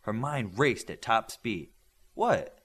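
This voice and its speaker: Man narrating an audiobook with lively pitch. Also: moderate pace. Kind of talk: scripted story narration.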